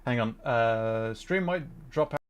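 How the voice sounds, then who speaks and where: A man hosting a gaming livestream, chatting casually and musing aloud in a halting way. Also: medium pitch, expressive, clean tone. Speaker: livestream host.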